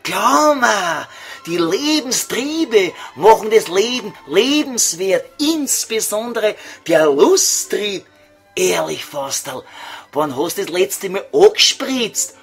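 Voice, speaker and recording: A man's voice: high voice, sing-song, gravelly, a bit bright, husky, faint music behind, full character voice. A man voicing a crude, scheming devil who taunts and coaxes in Viennese dialect in a comic radio play. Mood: sly coaxing. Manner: slow, sly, vulgar coaxing.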